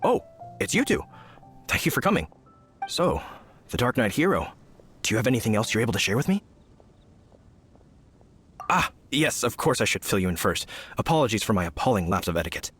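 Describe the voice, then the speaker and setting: Lively, earnest, courteous young knight. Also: male voice, lowish voice, a little fast, long pauses, breathy edge, soft background music, heavily acted. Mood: apologetic, eager. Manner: formal, polite, eager, emphatic. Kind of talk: video game voice acting.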